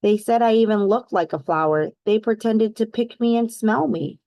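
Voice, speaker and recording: A woman's voice: on the high side, normal speed, dry recording, lo-fi sound, natural voice. A woman voicing a sensitive, downhearted little girl mouse in a storybook, in a plaintive manner. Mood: miserable.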